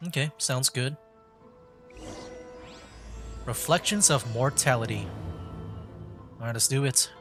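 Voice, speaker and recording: A man's voice: medium pitch, normal speed, over music. A man streaming a game with casual, slightly hesitant live commentary, restarting his sentences.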